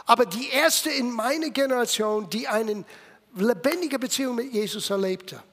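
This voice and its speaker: A man in his sixties with an American accent, preaching a sermon in non-native German with English slips, swinging from loud bursts to hushed lines. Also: very high-pitched, moderate pace. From United States. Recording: long hall reverb.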